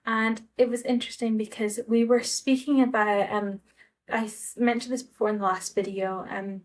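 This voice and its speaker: Scottish woman rambling in a casual vlog. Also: mid-range voice. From Scotland. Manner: rambling, hesitant, many fillers and restarts. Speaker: vlogger.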